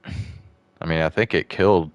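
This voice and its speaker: Super deep voiced young streamer dude rambling slow, cusses a lot, game music faint behind. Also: male voice, steady tone, no echo, highs cut off. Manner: rambling, blunt, swears freely. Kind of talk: casual gameplay commentary.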